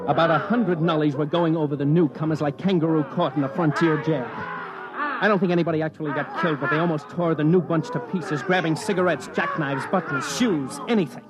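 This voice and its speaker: A man's voice: a little high, fairly quick, close to an everyday voice. A man playing a brash, wisecracking news reporter in an old-time radio drama, with wry, conversational delivery. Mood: wry narrating. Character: brash, wisecracking male news reporter narrating his adventure. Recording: dull vintage radio recording.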